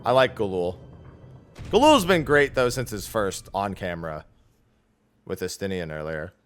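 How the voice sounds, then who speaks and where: A man giving casual live commentary on a game playthrough as a streamer, joking, reactive and excitable. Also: low voice, normal speed, faint music behind.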